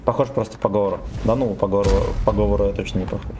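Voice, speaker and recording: Brief: young male streamer, lowish voice, jokey and profane, faint game sounds under him. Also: fairly even intonation, rapid-fire, mellow, a little hiss or hum. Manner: joking, swears freely, reads chat aloud. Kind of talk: casual game stream chatter.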